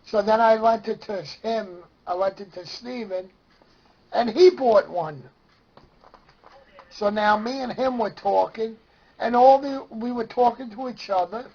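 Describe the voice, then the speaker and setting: A man with a strong raspy edge and a notably high voice tells casual stories in a Brooklyn accent. He is excitable and slips into remembered dialogue, though his overall pace is slow. The recording is dull and lo-fi. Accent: Brooklyn New York. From Brooklyn, New York. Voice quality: raspy, gravelly. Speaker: interview guest. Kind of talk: animated casual storytelling. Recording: dull lo-fi sound.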